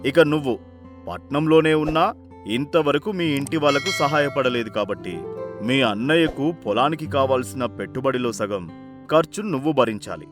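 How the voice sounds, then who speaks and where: A firm older village patriarch, acted by a man, speaks with authority and care in a cartoon moral tale. He instructs and scolds at a middling pitch. Light music plays behind him. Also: normal speed, lightly acted. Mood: firm, advising. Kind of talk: animated moral-story voice acting.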